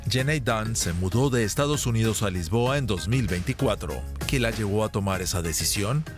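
Lowish guy reading a news report, calm even delivery, music bed loud under him. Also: moderate pace. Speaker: news narrator. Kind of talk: scripted news voice-over.

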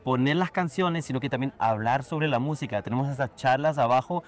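Chatty man with a mid-pitched voice. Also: a little fast. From raised in a Chilean exile household. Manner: relaxed, explains with many fillers and tag questions. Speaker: salsa DJ and festival organizer. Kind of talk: casual interview talk.

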